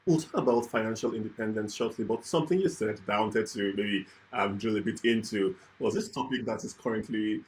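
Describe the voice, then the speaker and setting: A man's voice: on the low side, brisk, few pauses, poor audio. A man hosting an interview, asking long, hesitant questions with "um" and "sort of" hedges. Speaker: host.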